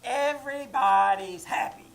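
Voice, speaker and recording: A woman's voice: a little high, a little slow, gravelly. A woman playing a nagging, self-pitying elderly mother who insists she is no bother, in a live old-time radio comedy. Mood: grumbling. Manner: passive-aggressive, self-pitying repetition. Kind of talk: 1940s radio comedy acting. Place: live theater stage.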